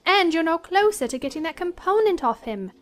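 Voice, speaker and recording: A woman's voice: very high voice, very animated, fairly quick, slight background noise. A woman playing a defiant, anxious teenage schoolgirl in an audio drama, cheeky and urgent. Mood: rueful. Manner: cheeky, self-talking, urgent. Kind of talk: full-cast audio drama acting.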